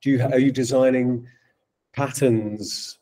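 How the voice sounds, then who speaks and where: Mellow man, distant on a call. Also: mid-range voice, fairly even intonation. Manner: polite, halting questions.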